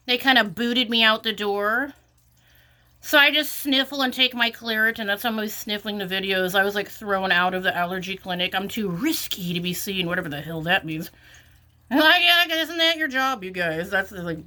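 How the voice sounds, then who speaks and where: A woman's voice: mid-range voice, pushed and bright. A sniffly woman rambling through an exasperated casual vlog rant, full of "like" fillers. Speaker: vlogger.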